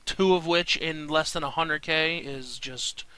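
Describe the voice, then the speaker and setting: A man with a crisp, very bright voice sitting a little above average in pitch. He talks informally over his gameplay, rambling along as he comments. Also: measured pace, no room sound. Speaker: gaming video creator.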